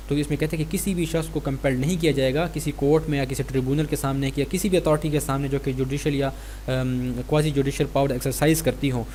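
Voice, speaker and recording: A man's voice: mid-range voice, darkish tone. A man with a Pakistani accent giving an explanatory law lecture, reading statutes and explaining them. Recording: clear background noise with hum.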